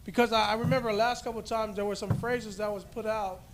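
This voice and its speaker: A man in a meeting asking a question hesitantly, with pauses and restarts. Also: high voice, steady tone.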